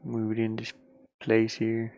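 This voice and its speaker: A tired man thinking aloud casually as he works on a game, muttering to himself and grumbling at the software into a headset mic. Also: fairly low pitch, monotone, a little slow, no room sound. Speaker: indie game developer working on camera. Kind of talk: casual thinking-aloud screen-work stream.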